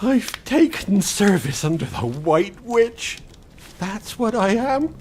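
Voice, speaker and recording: An actor playing a timid, guilt-ridden fawn in a radio drama, faltering and plaintive. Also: male voice, high voice, very animated, slow, a little mellow, slight background noise, full character voice. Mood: ashamed, confessing. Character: tearful, guilt-ridden male fawn, timid and self-reproaching.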